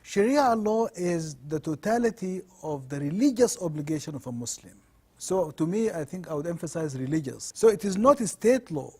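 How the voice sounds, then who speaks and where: A middle-aged man from Sudan, a law professor answering interview questions in measured, earnest explanations. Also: a little high, expressive, a little mellow, breathy edge.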